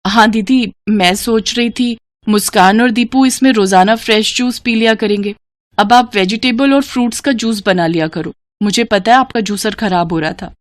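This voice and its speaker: A woman plays a tender, caring sister in a storybook family drama. Her voice is high and dry, earnest and full of feeling. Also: normal speed, dry recording, close to an everyday voice. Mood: affectionate.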